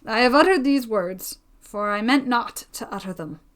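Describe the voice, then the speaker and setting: A woman reading a storybook voices a devoted, cautious gentleman suitor who pleads in formal period speech. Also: fairly high pitch, animated, moderate pace. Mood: restrained longing.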